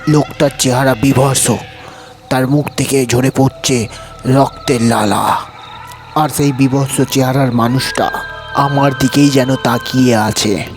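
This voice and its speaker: A frightened male diner played by a man tells his haunted hotel tale in a horror audio drama. His voice sits at a middle pitch, with music running underneath. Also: fairly even intonation, measured pace, natural voice. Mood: suspenseful narration. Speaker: story narrator and lead actor.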